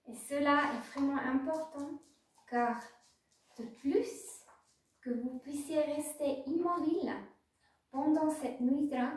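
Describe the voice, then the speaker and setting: Very slow, high-voiced woman guiding meditation. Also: darkish tone. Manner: slow, pausing instructions in short phrases. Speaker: yoga nidra teacher. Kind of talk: guided meditation. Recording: very echoey room, distant microphone.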